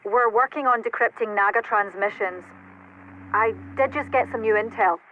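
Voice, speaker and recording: A woman playing a composed military intelligence operator in a video game, briefing in a formal, measured way. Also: a little high, narrow, tinny audio, slight background noise, close to an everyday voice. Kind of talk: video game cutscene acting.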